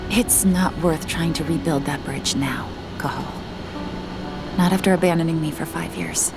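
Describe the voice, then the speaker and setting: A woman's voice: low-pitched, normal speed, creaky. An actress playing a bitter, determined young activist estranged from her father in a video game cutscene. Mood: hurt, bitter. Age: young adult. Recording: game music behind the voice.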